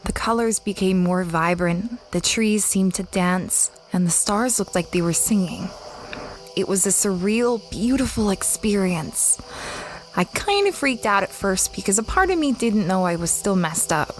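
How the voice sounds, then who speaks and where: A woman's voice: medium pitch, expressive, measured pace, slight background noise, close to an everyday voice, low-quality recording. A woman playing a dreamy space explorer who recounts her adventures in a reflective, conversational way, as audio drama narration.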